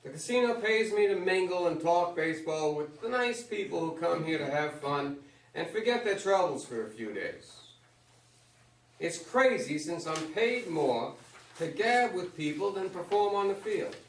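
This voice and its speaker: An actor in a staged play reading, playing an avuncular, plainspoken retired baseball legend who gives folksy advice. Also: male voice, high-pitched, lively intonation, normal speed, slight background noise. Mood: reflective. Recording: roomy stage, far from microphone.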